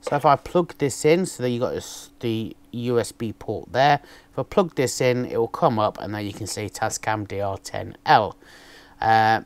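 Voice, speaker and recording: A man, casually chatting through an unboxing, with a naturally loud voice and a rambling way of talking full of ums. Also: mid-range voice, no echo. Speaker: motovlogger reviewing gear. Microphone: external microphone on a camera.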